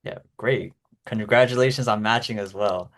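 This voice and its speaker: Host: man with a lowish voice, relaxed, encouraging interviewer. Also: normal speed. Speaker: podcast host. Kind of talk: casual interview questions.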